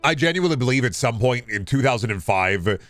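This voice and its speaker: A man chatting casually as a video game streamer, rambling in a halting way and often repeating phrases. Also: medium pitch, animated, a bit bright, dry recording, faint music behind. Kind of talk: casual rambling livestream chat.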